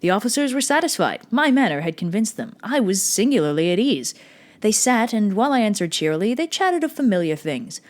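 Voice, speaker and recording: A woman's voice: medium pitch, sing-song, fairly quick, darkish tone, natural voice. A woman voicing a nervous, manic murderer in a theatrical, precisely worded dramatic reading of a Gothic horror story. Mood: smug, sly. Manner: theatrical, intense, precise old-fashioned phrasing. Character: nervous, manic murderer insisting on their sanity.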